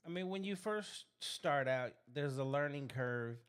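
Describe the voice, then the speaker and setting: A man hosting a podcast interview who asks measured questions and compares things to his own time performing music. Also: fairly high pitch, animated, clean tone. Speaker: podcast host and reactor. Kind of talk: interview host.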